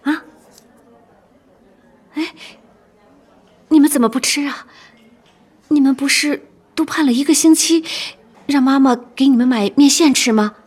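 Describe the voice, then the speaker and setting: A woman playing a gentle, thrifty young mother in a radio drama, polite and tender, with a breathy voice. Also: sits high, unhurried, pauses often. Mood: tender, coaxing.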